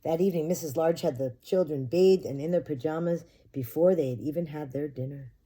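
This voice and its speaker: A woman's voice: low voice, brisk, mellow, husky. A woman preschool teacher reading a picture book aloud expressively and talking directly to young children. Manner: addresses children directly with simple questions.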